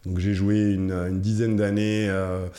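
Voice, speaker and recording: A man's voice: low-pitched, level tone, unhurried, mellow. A middle-aged man from Alsace answering as a guest in a conversational interview, agreeable and often confirming what is said. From Alsace, France. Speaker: podcast guest, former professional volleyball player.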